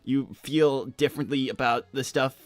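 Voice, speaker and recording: A man's voice: mid-range voice, fairly even intonation, faint music behind, lightly acted. A man voicing an insecure, earnest young hedgehog who rambles, stammers and repeats himself in improvised livestream roleplay. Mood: anxious, probing. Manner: halting, stammering, repeats words.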